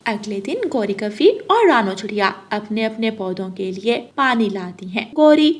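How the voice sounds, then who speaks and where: A woman's voice: mid-range voice, lively intonation, moderate pace. A woman narrating a scripted story for children.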